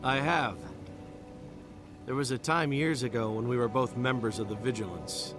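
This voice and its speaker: A man's voice: mid-range voice, normal speed, over music, lightly acted. A man playing a frank, seasoned vampire hunter in a video game, wry and plain-spoken. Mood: wry, reflective. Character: frank, seasoned male vampire-hunter veteran at fort gate. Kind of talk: video game character acting.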